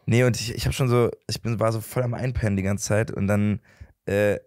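Rapid-talking young man, dry close sound. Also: fairly low pitch, steady tone, a little mellow, no echo. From Berlin, Germany. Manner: rambling, many fillers and restarts. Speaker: podcast guest. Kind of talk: casual chat. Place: podcast studio, indoors. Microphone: handheld mic.

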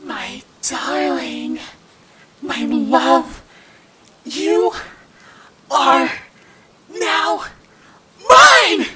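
A woman playing a deranged, possessive vampire ex-girlfriend in an ASMR horror roleplay, theatrical and drawn-out, with sugary endearments. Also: high voice, big pitch swings, pushed and bright, full character voice. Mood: gloating, possessive. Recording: noisy background ambience.

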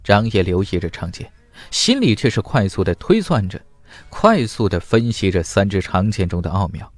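Animated low-voiced man narrating. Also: moderate pace, no echo, faint music behind. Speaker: audiobook narrator. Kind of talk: dramatic scripted fantasy narration.

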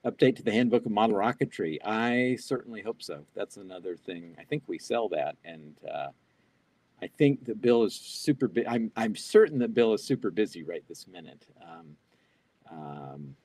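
A man's voice: lowish voice, expressive, moderate pace, a little mellow, no room sound. An older man speaking as a keynote presenter in a live online Q&A, reading audience questions aloud and answering off the cuff with fillers and restarts. He talks through a wired earbud mic on a video call from a small home office. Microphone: wired earbud headset on a video call.

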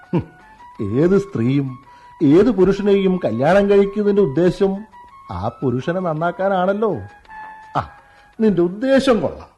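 Brief: a doting young husband, high, lively and mellow, teasing his wife in a radio play. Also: slightly rough, exaggerated voice. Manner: teasing, indulgent, playfully exaggerated. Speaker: radio drama actor. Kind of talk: 1990s-style radio drama acting.

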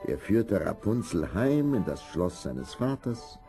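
A man's voice: low voice, sing-song, slow pace, music in the background. A man narrating a scripted fairy tale as a dramatic storyteller.

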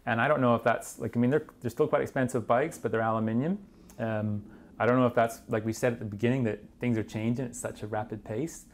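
A man's voice: mid-range voice, steady tone, fast, a little hiss or hum. A man in his thirties talking informally as a bike reviewer, often hedging with filler words like "like" and "kinda". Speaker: mountain bike magazine reviewer. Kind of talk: casual product review discussion. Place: small indoor studio workshop.

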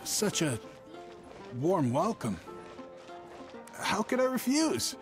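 Game role: nervous young travelling merchant, high animated voice, evasive, faint music behind. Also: male voice, slow pace, heavily acted. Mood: ingratiating. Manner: hesitant, stammering, evasive. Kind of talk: scripted video game voice acting.

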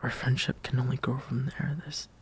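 A young man performing an intimate roleplay monologue as a lovestruck, insecure friend, in a hesitant, breathy half-whisper that trails off. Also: mid-range voice, level tone, moderate pace. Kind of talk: soft intimate roleplay monologue, partly improvised.

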